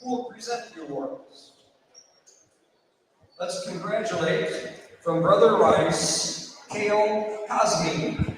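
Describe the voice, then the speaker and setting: A man's voice: high voice, slow pace, long pauses. A man presenting awards as an announcer over a gym public address system. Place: large indoor gym, heard over PA. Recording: very echoey gym PA, faint noise.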